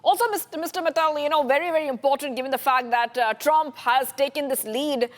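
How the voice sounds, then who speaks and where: Reverberant, higher-pitched woman news anchor. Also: brisk. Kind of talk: live TV news interview questioning.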